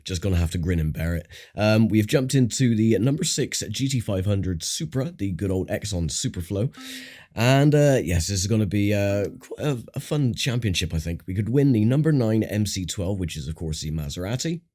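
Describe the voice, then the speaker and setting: A man with a croaky voice giving casual, chatty commentary while playing a racing game, thinking aloud with fillers. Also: fairly low pitch, animated, moderate pace, darkish tone. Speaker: gaming channel host. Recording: voice over game audio with engine noise.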